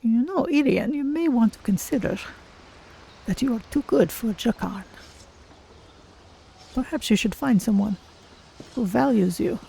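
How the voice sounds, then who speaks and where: A streamer voicing a gentle, polite cat-folk adventurer, musing unhurriedly through a fantasy video game. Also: medium pitch, lively intonation, measured pace, vocal fry, pauses often, a little hiss or hum, low-quality recording. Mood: gentle, courteous.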